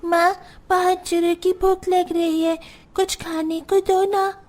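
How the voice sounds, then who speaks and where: A woman's voice: very high-pitched, little pitch movement, slow, a little mellow, a little hiss or hum. A woman voicing a poor, anxious, plaintive youngster in a Hindi cartoon story. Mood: hungry pleading. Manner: pleading, plaintive.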